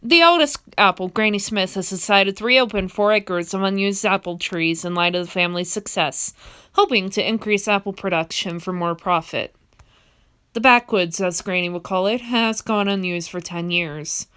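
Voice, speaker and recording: A young woman reading a fan comic dub in a steady first-person storytelling narration. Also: medium pitch, moderate pace, smooth.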